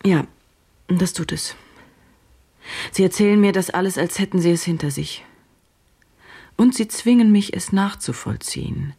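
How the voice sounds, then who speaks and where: Troubled female journalist, low pitch, gravelly creak, deliberate, intense, radio play. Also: animated, warm tone, exaggerated voice. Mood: indignant, hurt. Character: driven, troubled female journalist, brooding and indignant. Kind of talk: German radio drama, dialogue and inner monologue.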